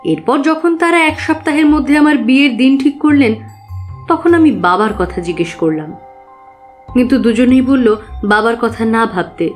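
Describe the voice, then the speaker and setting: A woman's voice: fairly high pitch, lively intonation, normal speed, soft background music, lightly acted. An actress playing an earnest, trusting young woman client who carefully recounts her troubles in an audio drama. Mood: earnest, troubled.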